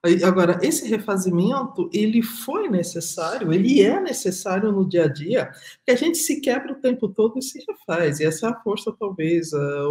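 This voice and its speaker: A woman's voice: mid-range voice, darkish tone. A middle-aged woman artist speaking in a panel discussion over a video call, reflective and emphatic, repeating her key phrases. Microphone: video call webcam.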